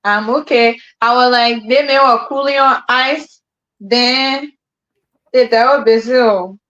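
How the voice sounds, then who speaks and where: A Liberian woman hosting a casual live chat, telling stories in a relaxed way with colloquial slang and frequent laughter. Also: slow pace. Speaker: host. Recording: muffled low-fidelity stream audio.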